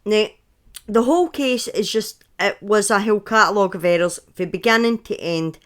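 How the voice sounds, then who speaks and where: A woman's voice: mid-range voice, normal speed. A woman in her thirties with a Scottish accent, telling true crime stories casually on a live stream, often breaking off into chatty asides and correcting herself. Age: 30s.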